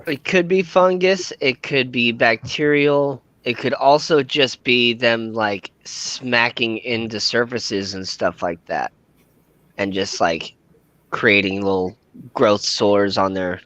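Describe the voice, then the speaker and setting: A man co-hosting a casual livestream, explaining fish problems in a relaxed way with frequent fillers. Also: medium pitch, slow, dry recording. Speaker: fish store worker and co-host.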